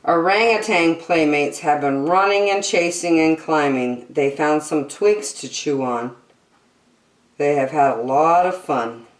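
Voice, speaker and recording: A woman's voice: deep voice, unhurried, roomy sound, a little hiss or hum. A woman hosting story time, reading a picture book aloud to young children expressively, with chatty asides and questions.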